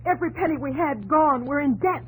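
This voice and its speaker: An actress playing an exasperated, desperate wife in 1950s radio drama, distraught and protesting, on a noisy old broadcast. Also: female voice, high voice, brisk, lightly acted.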